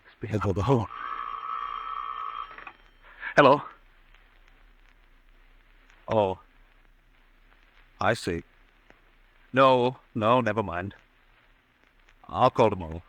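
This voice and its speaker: An actor playing a tormented, halting and agitated man who doubts his own sanity, in old-time radio suspense style. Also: fairly low pitch, fairly bright tone, no echo, rough recording. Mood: strained, distracted.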